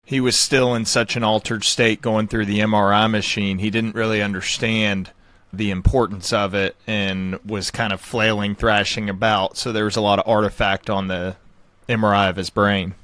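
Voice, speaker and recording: A man's voice: fairly low pitch, little pitch movement, moderate pace, a bit bright. Male podcast host talking medicine. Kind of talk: conversational medical interview.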